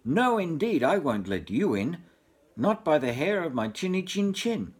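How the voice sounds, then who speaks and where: A polite little pig from a storybook, earnest and plucky as an older man acts him out. The pitch swoops around a lot and the voice is a bit husky. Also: very animated, unhurried, full character voice. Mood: defiant.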